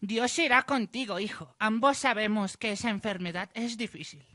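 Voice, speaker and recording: A woman's voice: medium pitch, normal speed, fairly bright tone, no echo, clean studio sound, lightly acted. A woman playing a devout village priest in a student radio drama, solemn and consoling. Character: devout, fatherly village priest, trusting in God.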